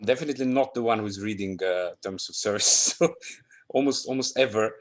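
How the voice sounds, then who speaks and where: Low-voiced man, hesitant workshop participant. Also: fairly quick, slight background noise.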